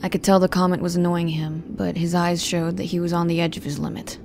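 A woman's voice: on the low side, flat delivery, a little fast, creaky, noisy background. A woman playing a guarded, protective young woman, narrating an audio drama in the first person in a restrained, brooding, stern manner. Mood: reflective.

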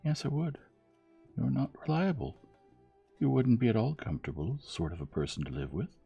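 남자가 연기하는 높고 순박한 고집쟁이 아가씨. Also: male voice, mid-range voice, animated, normal speed, gravelly, slightly rough, lo-fi sound, faint music behind, voice pushed up, heavily acted. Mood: indignant. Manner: indignant, artless, emphatic. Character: earnest, plain-spoken young woman, gentle but stubborn.

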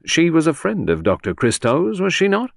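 Male narrator as shrewd police inspector, gravelly edge, confidential probing, gruff, animated pitch, audiobook. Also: normal speed, no echo, clean studio sound, full character voice. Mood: sharp questioning. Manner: easy, confidential, probing, grunting at times. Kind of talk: audiobook character reading.

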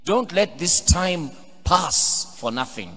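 A middle-aged Ugandan priest preaching a homily from a pulpit microphone, switching between English and Luganda, building to emphatic, loud exhortations. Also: a little high, lively intonation, takes it slowly. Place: large cathedral, indoors at a pulpit. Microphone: pulpit microphone on a stand. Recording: long cathedral reverb.

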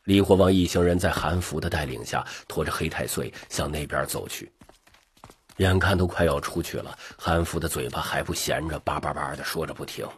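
A man's voice: a bass voice, fairly quick. A man narrating an audiobook in a scripted, dramatic storytelling style. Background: music.

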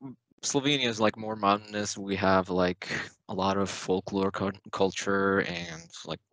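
A man's voice: fairly low pitch, measured pace, creaky, a bit bright, no echo, rough recording. Young student guy from serbia on a video call, hesitant, lots of ums, laughs a lot, dry sound. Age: young adult. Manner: hesitant, many fillers, laughs often. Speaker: university student guest. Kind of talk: casual video-call interview.

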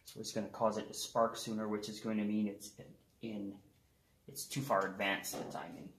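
A man's voice: fairly low pitch, moderate pace, a little hiss or hum. A middle-aged man casually explaining a repair step by step while he works on a snowmobile engine in a shed. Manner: relaxed, talks while working on an engine. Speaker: garage mechanic host. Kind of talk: casual how-to repair demonstration. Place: open wood shed workshop. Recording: echoey with loud tool clatter.

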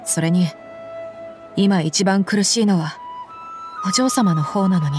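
A woman's voice: mid-range voice, slow, natural voice. A tough young mercenary woman, voiced by an actress with a mid-range voice. She talks frankly and loyally, like an anime game bodyguard, with light music behind her. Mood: conflicted, earnest. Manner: rough, frank speech turning polite.

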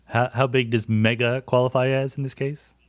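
A man's voice: medium pitch, little pitch movement, normal speed, dry recording, clean studio sound. A middle-aged man hosting a podcast, asking curious, casual questions with fillers. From United States. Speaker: podcast host. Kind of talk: conversational science interview.

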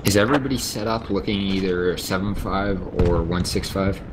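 A man acting as squad leader in an online shooter, giving orders over voice chat amid loud gunfire. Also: sits low, background noise. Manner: giving quick orders, calm then urgent. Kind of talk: tactical voice chat during online game. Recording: gunfire-heavy game audio over voice chat.

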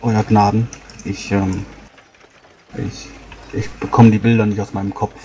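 A troubled adventurer, acted by a man in a tabletop game, confides in halting, repeated phrases. The voice is low and dark, with very little melody. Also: flat delivery, lo-fi sound, a little hiss or hum. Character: troubled, haunted male adventurer confiding his trauma.

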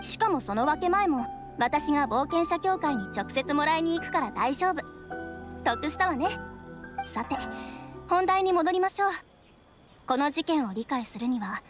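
Cool female sleuth, young, savvy and self-assured, high register, anime game dialogue. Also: lively intonation, moderate pace, faint music behind. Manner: confident, businesslike. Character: cool, shrewd young woman detective.

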